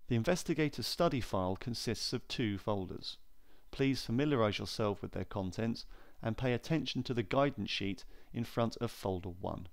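Low, gravelly male instructional narrator. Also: normal speed. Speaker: training film narrator.

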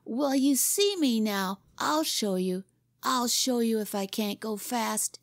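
A woman's voice: on the high side, measured pace, darkish tone, no room sound, clean studio sound, pitched above the performer's own voice, lightly acted. A woman voicing a proud, defensive small boy in a storybook, skeptical and stubborn, repeating himself. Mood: defiant. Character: anxious, defiant small boy, proud of his toy. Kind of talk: storybook character reading.

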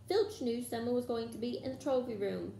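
A woman's voice: fairly high pitch, fairly quick, creaky, mellow, pitched above the performer's own voice. A woman voicing a bossy, exasperated clever schoolgirl in a storybook, scolding with emphasis. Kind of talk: storybook character voice in a read-aloud. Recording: roomy echo, faint background noise.

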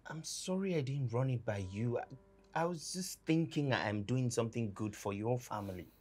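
A man acting an earnest, apologetic husband in a film drama, halting and stammering when pressed. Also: medium pitch, expressive, a little mellow, husky, faint music behind. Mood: defensive, apologetic. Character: earnest, apologetic husband who gets loudly indignant.

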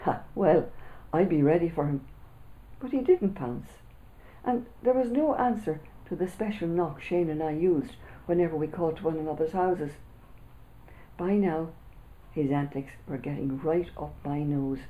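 An older woman reading her own children's book aloud with lively first-person storytelling, seated in a small room. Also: deep voice, moderate pace, phone-quality sound. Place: small indoor room with printed backdrop.

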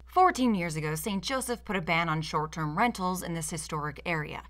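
A woman's voice: fairly low pitch, fairly quick, a bit bright. An American woman from Michigan narrating a scripted video essay in a sardonic manner. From Michigan, United States.

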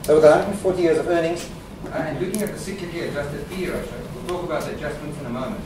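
A man's voice: on the high side, rapid-fire. A man giving a conference presentation, joking dryly, with fillers and restarts. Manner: wry, joking asides with fillers. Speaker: conference speaker. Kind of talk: presentation talk. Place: conference hall. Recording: echoey hall from far off, background noise.

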